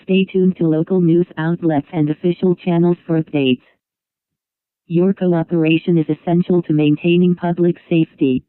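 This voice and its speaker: A man reading a formal scripted emergency broadcast announcement in an impersonal, measured manner over a tinny radio-like line. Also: fairly high pitch, level tone, smooth, dry recording. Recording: tinny phone-like radio band.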